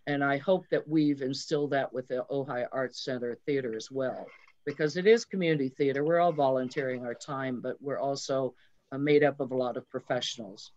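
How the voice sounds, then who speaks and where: A woman's voice: very deep voice, fairly quick, darkish tone. A woman talking casually as an interview guest over a video call. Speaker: radio actor, show guest. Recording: dull, lo-fi call audio.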